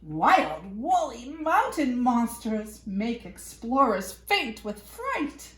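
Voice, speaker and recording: A woman reading a picture book aloud to young children in an expressive, playful way with dramatic emphasis. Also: fairly high pitch, slow pace, a little hiss or hum. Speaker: children's storyteller. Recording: echoey room.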